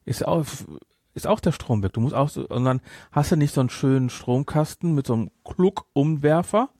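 A man's voice: on the high side, animated, moderate pace, dark tone, dry recording, studio quality. A man with a German accent chatting casually as a podcast co-host, explaining things in a rambling way with frequent self-corrections. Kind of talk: casual film discussion chat.

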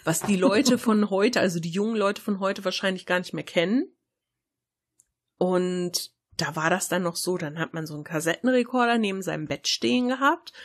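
A middle-aged woman, chatting casually and colloquially as a podcast co-host. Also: mid-range voice. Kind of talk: casual chat, reminiscing.

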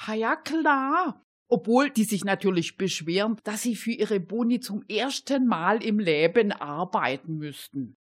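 Woman acting a female hobby detective, mid-pitched, gossipy, gleeful, colloquial, amateur radio play. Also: animated, measured pace, slightly rough. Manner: colloquial, gossipy, with folksy tag questions.

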